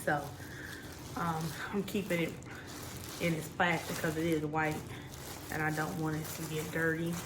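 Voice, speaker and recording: Woman, hoarse after the flu, lowish voice, loose rambling chat, faint background hiss. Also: moderate pace, long pauses. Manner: rambling, relaxed, many ums. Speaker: crochet vlogger. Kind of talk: casual chat.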